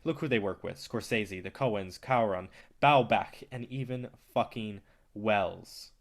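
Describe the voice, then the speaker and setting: A man's voice: on the low side, measured pace. A man playing an arrogant, impatient and foul-mouthed film distributor in a staged play reading, blunt and cocky. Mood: blunt, persuasive.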